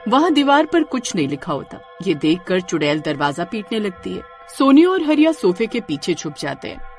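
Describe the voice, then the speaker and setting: A woman narrating a scripted horror story in a dramatic, expressive way. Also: medium pitch, normal speed, soft background music.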